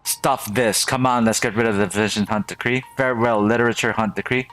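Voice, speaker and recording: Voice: medium pitch, fairly even intonation, brisk, fairly bright tone, soft background music. A game streamer doing reaction commentary, exclaiming and repeating words in amazement while playing a story game.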